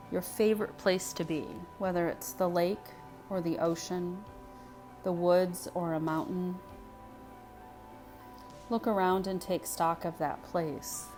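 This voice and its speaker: A woman leading a guided meditation in a soothing, unhurried way, speaking phrase by phrase with pauses. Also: fairly low pitch, darkish tone. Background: music.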